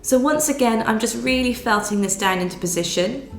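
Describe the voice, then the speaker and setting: A woman, nasal and croaky from a cold, patiently talking through a needle felting tutorial step by step. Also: on the high side, fairly quick. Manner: patient step-by-step explaining with fillers. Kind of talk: craft tutorial voice-over. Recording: roomy sound with soft music behind.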